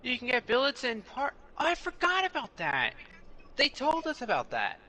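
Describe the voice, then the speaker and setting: A man's voice: extremely high pitch, huge pitch swings, moderate pace, bright, forceful tone. A man chatting casually as a co-commentator during a video game stream. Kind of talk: casual chat during gameplay.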